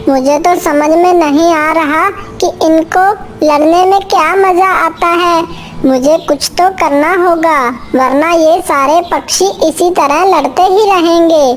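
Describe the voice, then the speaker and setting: A woman's voice: extremely high pitch, measured pace. A woman voicing a small female bird, earnest and easily indignant, in a children's cartoon story, with music behind. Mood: worried. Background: faint music.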